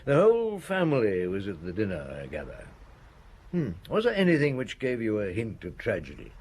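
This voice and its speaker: An actor in a radio drama playing a weary, dry, measured police chief inspector who questions and narrates with deliberate pauses. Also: male voice, lowish voice, huge pitch swings, darkish tone, breathy edge, heavily acted. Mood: measured questioning. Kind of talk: radio drama acting with first-person narration.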